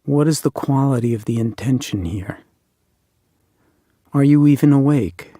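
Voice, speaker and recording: A man guides a meditation in a dark, relaxed voice with a husky grain. His phrasing is measured and deliberate, leaving pauses between reflective instructions. The sound is dry, with no room around it. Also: medium pitch, no echo. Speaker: meditation teacher.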